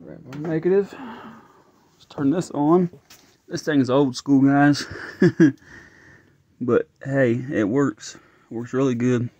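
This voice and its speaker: A man's voice: medium pitch, slow, mellow, no echo, highs cut off. A man thinking aloud as he tests an engine at his garage workbench, casual and a bit frustrated. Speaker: home mechanic vlogger. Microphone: phone camera held close.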